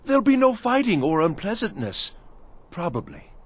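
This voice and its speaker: A man playing a frantic, pleading servant of a vanished mad master in a video game, with a theatrical manner that swings from pleading to hushed awe. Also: sits high, big pitch swings, normal speed, husky, full character voice. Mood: nervous warning. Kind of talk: video game character acting.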